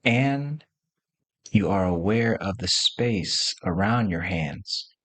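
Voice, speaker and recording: A man leading a guided meditation, giving gentle instructions in short, spaced phrases as a teacher. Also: low-pitched, expressive, slow, no room sound.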